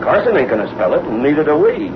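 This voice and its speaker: An actor playing a tough, greedy truck driver crook with slangy speech in an old-time radio drama. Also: male voice, fairly high pitch, wildly swooping pitch, quick pace. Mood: cocky, scheming. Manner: slangy, ungrammatical tough-guy talk. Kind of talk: 1930s-40s radio crime drama acting. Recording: muffled, noisy old radio recording.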